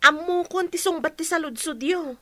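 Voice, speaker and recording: A woman's voice: very high voice, normal speed, pushed and bright. A woman playing an eager, guileless young daughter who tattles, in a radio drama, with an Ilocano Filipino accent. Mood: tattling.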